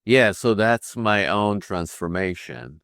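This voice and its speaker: A man in his sixties from Israel, a guest healer telling his story slowly with frequent pauses. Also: fairly low pitch, fairly bright tone, clean tone, dry recording, studio quality. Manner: slow, measured, with frequent pauses and fillers. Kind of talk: interview conversation.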